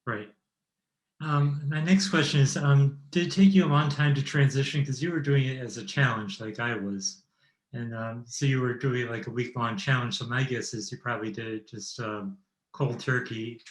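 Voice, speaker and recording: A man's voice: mid-range voice, fairly even intonation, normal speed. A man hosting a casual interview, asking questions and chiming in briefly to agree, recorded in a roomy, echoing space. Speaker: interviewer, podcast host. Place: remote call in an echoey room.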